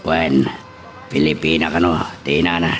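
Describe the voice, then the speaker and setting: Very deep-voiced, loyal henchman. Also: flat delivery, measured pace, fairly bright tone, soft background music. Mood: guarded. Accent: Ilocano. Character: loyal male henchman of a crime family, deferential. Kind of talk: Filipino radio drama acting. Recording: clear background noise.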